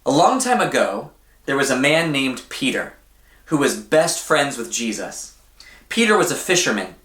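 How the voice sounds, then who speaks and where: A man reading a children's story aloud in an expressive, deliberate way, often putting questions to his young listeners. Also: medium pitch, echoey room. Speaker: church storybook reader for children.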